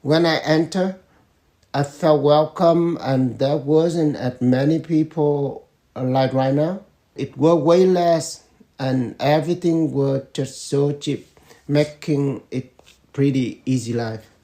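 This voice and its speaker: Father in his fifties; slow, mellow, measured delivery. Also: fairly high pitch. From Vietnam. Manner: slow, measured answers with pauses and fillers. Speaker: interviewee, father. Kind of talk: family interview.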